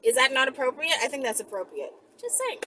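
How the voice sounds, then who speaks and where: A woman's voice: high voice, very animated, fast talker, bright, forceful tone. A woman video host chatting casually and rambling to viewers in a blunt, emphatic, profane, conversational way.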